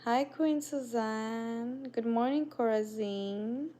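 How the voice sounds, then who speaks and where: A woman's voice: a little high, takes it slowly, mellow. A young woman with a non-native accent hosting a casual livestream, chatting with viewers and greeting them by name. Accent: non-native English accent. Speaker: livestream host. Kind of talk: casual live-stream chat with viewers.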